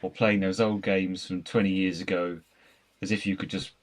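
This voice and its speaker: A man's voice: deep voice, little pitch movement, normal speed. A man speaking as a podcast guest in a casual, conversational discussion. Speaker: podcast guest, co-host of a gaming podcast.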